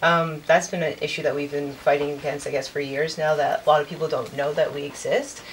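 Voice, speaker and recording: Female guest, 20s, low register, casual conversation, slight room noise. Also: level tone, a little fast, far from the mic, rough recording. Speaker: college radio programming director, guest. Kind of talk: casual interview chat. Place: furnished indoor music room studio. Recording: faint background noise.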